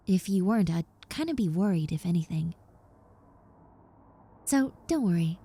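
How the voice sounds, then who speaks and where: A woman's voice: mid-range voice, warm tone, breathy edge. A woman playing a shy, rambling young roommate, a reformed obsessive, in an intimate audio roleplay with hesitant, stammering delivery. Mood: reassuring, tender. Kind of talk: roleplay audio acting, intimate one-sided conversation.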